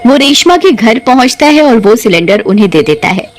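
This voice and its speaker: A woman's voice: fairly high pitch, animated, brisk, slight background noise. A woman reading scripted narration for a cartoon ghost story.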